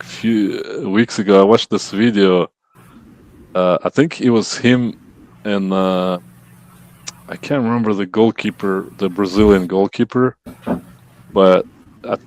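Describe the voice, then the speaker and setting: A man's voice: fairly low pitch, a little slow, long pauses, a little mellow, poor audio. A man hosting a podcast, chatting loosely with lots of fillers and asking questions. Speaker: podcast host. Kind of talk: casual interview chat.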